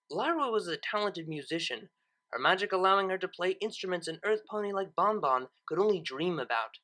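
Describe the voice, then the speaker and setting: Female story narrator. Slightly low voice, animated melody, expressive scripted reading of fiction.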